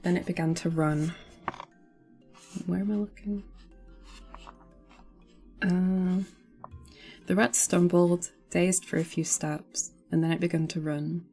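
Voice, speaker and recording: Woman streamer reading a storybook, mellow kinda low voice, takes it slow, chatty little asides. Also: fairly even intonation, faint music behind. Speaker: streamer reading a novel aloud. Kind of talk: storybook narration with casual asides.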